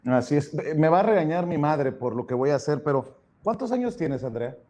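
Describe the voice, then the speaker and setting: A man's voice: on the high side, animated, brisk, dark tone. A Mexican man hosting a political interview, chatting casually and adding wry personal asides. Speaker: interview host. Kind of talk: casual political talk show.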